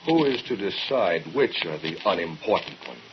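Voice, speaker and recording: A man's voice: deep voice, lively intonation, moderate pace. A man playing an earnest young military man in an old radio drama, solemn, challenging and pointed. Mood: probing. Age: young adult. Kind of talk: mid-century radio drama acting. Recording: dull, noisy old radio recording.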